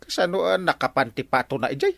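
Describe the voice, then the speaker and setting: A man's voice: on the high side, fast talker, creaky, no echo, studio quality, heavily acted. A man playing a blustery, comic older village captain with an Ilocano accent, full of exclamations in a radio comedy drama. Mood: teasing, incredulous. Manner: animated, exclamatory.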